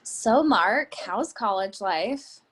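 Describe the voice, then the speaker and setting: A woman plays a middle-aged mother in a stage play reading. Her voice sits at an ordinary pitch, and she is polite, formal and inquisitive. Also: expressive, slow pace, fairly bright tone, slightly rough, full character voice. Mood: motherly. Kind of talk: readers theatre play reading.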